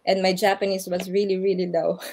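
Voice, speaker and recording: A woman's voice: mid-range voice, little pitch movement, a little fast, a little mellow. A woman with a Filipino accent, a guest speaker giving earnest advice over a video call, laughing now and then. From Philippines. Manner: earnest, advising, laughs often. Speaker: guest speaker, English teacher in Japan. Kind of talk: online orientation interview answers.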